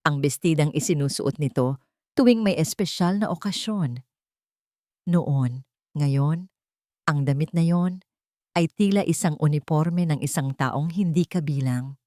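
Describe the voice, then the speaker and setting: A woman's voice: low-pitched, measured pace, pauses often, mellow, dry recording, studio quality. A Filipino woman delivering scripted dramatic story narration.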